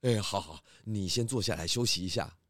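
A man voicing a calm pig detective chief who questions suspects in a children's audio drama. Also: on the low side, a little fast, dry recording, studio quality, close to an everyday voice. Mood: calm questioning.

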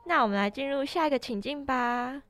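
A woman's voice: high voice, a little fast, fairly bright tone, faint music behind. A woman co-hosting a podcast, discussing casually. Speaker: podcast co-host.

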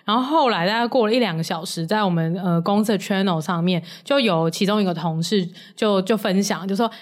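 A woman's voice: medium pitch, a little fast. A young woman with a Taiwanese accent, a podcast co-host telling stories casually in a jokey, blunt way, laughing often. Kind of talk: casual chat and storytelling. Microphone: close stick microphone.

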